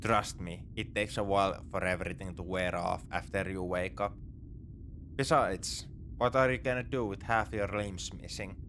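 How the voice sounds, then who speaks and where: A man's voice: deep voice, steady tone, moderate pace, a bit bright, slight background noise. A man playing a morally grey space pirate captor in a sci-fi ASMR roleplay, talking in a casual, wry, coaxing way. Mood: calm, smug. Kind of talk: second-person sci-fi ASMR roleplay acting.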